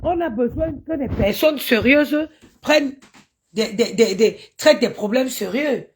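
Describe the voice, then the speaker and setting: A woman's voice: a little high, animated, measured pace, slight background noise. A woman from Cameroon, ranting indignantly on a live stream, stammering and chanting invocations. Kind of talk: impassioned live-stream rant.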